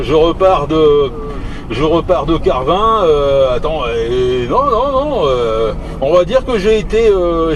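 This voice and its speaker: A man talks on CB radio from a noisy vehicle. His voice sits slightly high, and he speaks in halting, repeated bits with a jocular, teasing tone. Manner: hesitant, halting, repeating words. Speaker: amateur CB radio operator. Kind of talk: casual radio chat.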